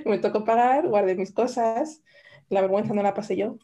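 Podcast lady telling a story, mellow voice, lots of restarts. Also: a little high, sing-song, moderate pace, soft background music. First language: Spanish. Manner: storytelling, many restarts and "o sea". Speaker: podcast co-host. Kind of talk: casual chat over wine.

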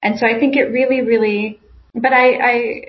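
A woman talking about language learning in a thoughtful, halting way, often repeating words. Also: on the high side, steady tone. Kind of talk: casual conversation. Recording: dull lo-fi audio.

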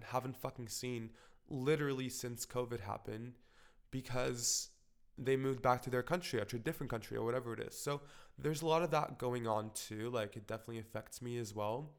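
A man's voice: medium pitch, clear, resonant tone. A young man from Portugal talking frankly in a personal vlog, rambling with lots of fillers and swearing, slightly congested from a cold. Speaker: vlogger. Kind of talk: candid personal vlog monologue.

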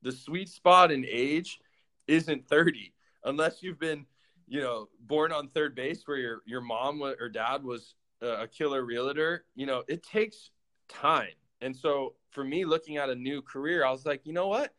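Animated storytelling man in his thirties. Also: medium pitch, measured pace. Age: 30s. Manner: animated storytelling, acts out his own thoughts in quotes. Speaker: former pro baseball player. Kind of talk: casual podcast conversation.

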